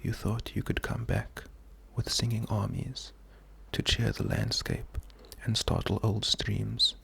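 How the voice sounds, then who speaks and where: A man doing a slow, soft-spoken ASMR poetry reading, gentle and calming, half-whispered with a breathy, creaky voice. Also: on the low side. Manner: gentle, unhurried, calming, often repeating words. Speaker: ASMR host.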